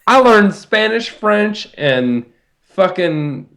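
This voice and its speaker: A man's voice: high-pitched, expressive, very slow, fairly bright tone. A man co-hosting a casual podcast, tossing in flippant, joking remarks. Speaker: podcast co-host.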